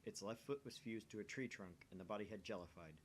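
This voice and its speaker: Low-voiced man reading a visual novel. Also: quick pace, slight background noise. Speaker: amateur voice reader of a visual novel. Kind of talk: dramatic reading of game narration and dialogue.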